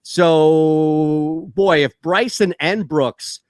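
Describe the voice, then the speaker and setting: A man hosting a sports talk show, animated and jokey in casual banter. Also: a little high, drawn-out words, no echo. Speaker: host.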